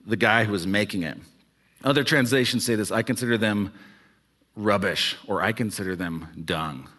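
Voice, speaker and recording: A man's voice: lowish voice, fairly bright tone. A man in his early forties preaching a sermon as pastor, talking conversationally and checking in with the congregation with frequent "okay"s. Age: 40s. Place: church sanctuary.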